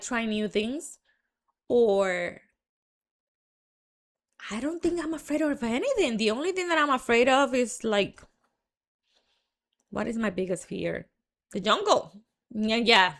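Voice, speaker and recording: A woman's voice: on the high side, fairly bright tone. A young woman with a Dominican Spanish accent tells her story in a frank, chatty monologue, full of fillers and restarts. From Dominican Republic. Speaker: marketing entrepreneur.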